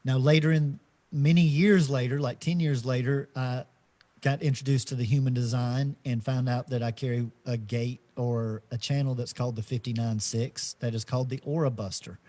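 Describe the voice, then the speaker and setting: A middle-aged man co-hosting a podcast, talking slowly and reflectively in a casual spiritual chat, with many fillers and restarts. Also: mid-range voice, fairly even intonation, mellow. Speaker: podcast co-host.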